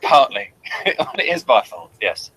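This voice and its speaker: A man's voice: medium pitch, sing-song, takes it slowly, pushed and bright, rough recording. A man hosting a review show in casual chat, earnest and literal-minded.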